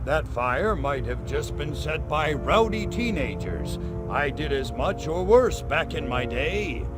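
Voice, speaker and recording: A man voicing a wry, sardonic alien invader in a video game, with a gravelly, drawling voice. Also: a little high, very animated, takes it slowly, over loud music.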